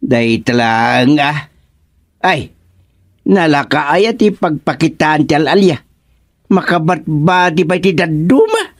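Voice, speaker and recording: An actor playing a bewildered, stubborn village father with an Ilocano Filipino accent, in drawn-out, exclamatory radio comedy-drama style. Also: fairly high pitch, animated, dry recording, studio quality. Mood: grave, insistent.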